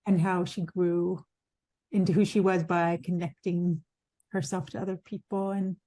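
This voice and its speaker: A woman's voice: fairly low pitch, level tone, mellow, no echo. A woman poet talking as a guest, reflective and musing about the self. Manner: reflective, musing, quick to laugh. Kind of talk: casual conversation.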